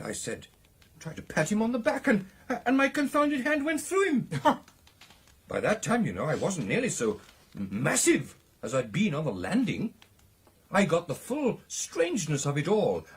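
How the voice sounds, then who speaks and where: An actor playing an excitable British gentleman recounting a ghostly encounter in a radio drama, with theatrical, animated delivery. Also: a little high, husky, full character voice. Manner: theatrical, animated storytelling with exclamations and hesitations.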